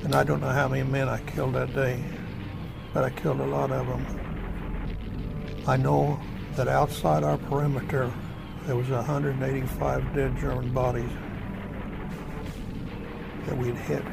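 A man's voice: mid-range voice, little pitch movement, moderate pace, gravelly, long pauses, low-quality recording. An elderly American man, a war veteran, recounting his memories in a plain, folksy, matter-of-fact way. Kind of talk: oral history recollection. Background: music.

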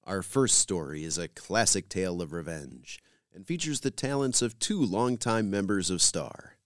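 American man, low voice, animated delivery, podcast host, scripted introductions, dry close sound. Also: no room sound.

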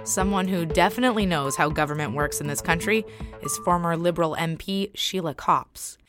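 A woman's voice: fairly low pitch, normal speed, over music. A woman narrating as a podcast host from a script.

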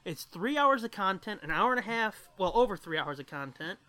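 A man's voice: sits high, big pitch swings, fairly quick, clear, resonant tone. A man co-hosting a podcast in casual chat, joking darkly and swearing freely. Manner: irreverent, foul-mouthed, dark joking. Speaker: podcast co-host.

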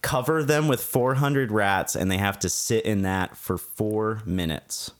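A man's voice: on the low side, unhurried. A young man co-hosting a casual recap podcast, laid-back and jokey, swearing now and then. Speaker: podcast co-host.

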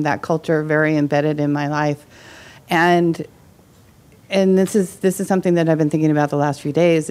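A woman from the audience at a conference session, speaking loudly and earnestly with many restarts. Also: low-pitched. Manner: loud, earnest, rambling with restarts. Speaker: audience member. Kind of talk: conference discussion.

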